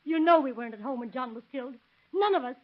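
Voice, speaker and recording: An actress playing a distraught, defiant middle-aged farm wife, pleading and vehement, in a 1930s radio melodrama. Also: female voice, high voice, animated, quick pace. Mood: desperate, defiant. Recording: dull, muffled vintage radio recording.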